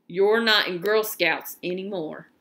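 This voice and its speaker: A woman voicing a gruff, bossy male camp official while reading a storybook aloud. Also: mid-range voice, a little slow, bright, forceful tone. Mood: stern, commanding.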